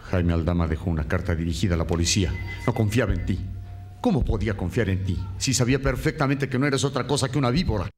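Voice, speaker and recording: A man's voice: on the low side, fast, a little mellow, faint music behind, close to an everyday voice. An actor playing a stern, contemptuous man who makes threats in a radio soap opera. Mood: accusing. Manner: accusing, contemptuous.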